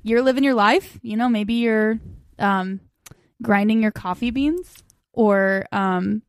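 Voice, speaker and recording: A woman's voice: a little high, takes it slowly, clear, resonant tone, no room sound, clean studio sound. A woman in her twenties hosting a podcast, chatting casually in a bubbly, giggly, rambling way. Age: 20s. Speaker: podcast host. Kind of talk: casual chat.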